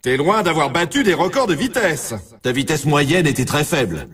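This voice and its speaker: A man's voice: on the high side, sing-song, normal speed, a bit bright, breathy edge, far from the mic, heavily acted. A man playing a brash, teasing snowboard race announcer in a video game, with punchy scripted delivery. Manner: punchy exclamatory delivery in short scripted lines. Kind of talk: scripted sports announcer lines with colloquial address.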